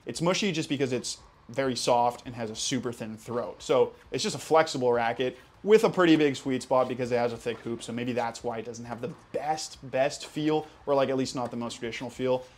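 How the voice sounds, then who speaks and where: A man's voice: mid-range voice, fairly quick, hardly any pauses. Chatty young American man. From Hawaii. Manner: chatty and enthusiastic, with casual fillers. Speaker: tennis channel host. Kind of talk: casual Q&A vlog.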